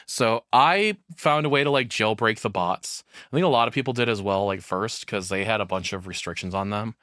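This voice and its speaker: A man's voice: lowish voice, a little fast, pushed and bright, no room sound, studio quality. A man doing casual live reaction commentary in a rambling, frank, crudely humorous way. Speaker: reaction streamer.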